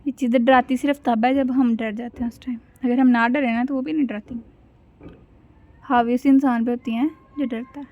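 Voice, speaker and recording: A woman chatting casually with family, telling things in an animated way and asking questions. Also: on the high side, moderate pace. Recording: dull lo-fi home recording.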